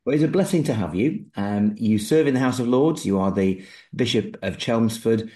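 A man hosting an interview podcast, asking courteous, gently probing questions of his guest. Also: on the low side, normal speed. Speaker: podcast host. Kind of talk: conversational interview.

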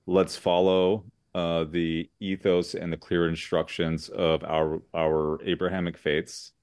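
An American man from Southern California, a lawyer speaking as an interview guest, earnest and measured with many fillers. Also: deep voice, flat delivery, darkish tone, no room sound, studio quality. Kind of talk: interview conversation.

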